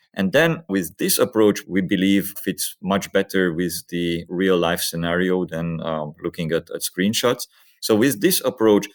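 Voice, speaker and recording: A man with a low-pitched voice speaks as a medical researcher in an interview. He explains technical work step by step, in a methodical, orderly way.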